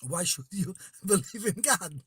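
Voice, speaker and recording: A man's voice: high voice, lively intonation, unhurried, a bit bright, slightly rough. A man in his forties whose first language is Portuguese, calling in and searching hesitantly for English words. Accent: Portuguese-speaking. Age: 40s. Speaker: caller.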